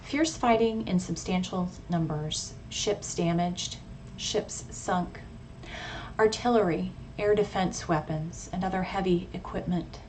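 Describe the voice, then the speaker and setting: A thoughtful, measured woman speaking as a hobbyist vlogger. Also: on the low side, a little mellow, poor audio. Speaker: radio hobbyist vlogger and poet. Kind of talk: casual informative vlog talk with poetry reading. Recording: clearly audible background noise.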